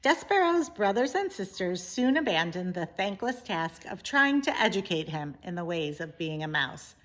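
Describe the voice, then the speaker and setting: A woman reading a children's story aloud in an expressive, deliberate way with dramatic pauses. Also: fairly low pitch, poor audio. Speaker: teacher reading a book aloud.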